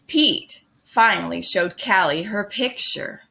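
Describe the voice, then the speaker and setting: A woman reading a children's picture book aloud in an expressive, deliberate way. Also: mid-range voice, creaky, low-quality recording. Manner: slow, expressive, deliberate phrasing. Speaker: storybook reader.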